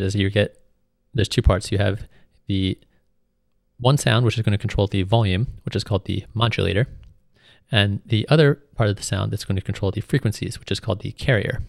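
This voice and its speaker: A man giving an informal, step-by-step tutorial as a music software instructor. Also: fairly low pitch, creaky, no room sound, studio quality. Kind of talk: tutorial narration, explanatory.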